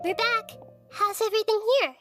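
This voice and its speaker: Childlike female god, high-pitched, soft, wise, measured, formal, thoughtful, game dialogue over music. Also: steady tone. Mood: calm, cautioning. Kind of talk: video game voice acting.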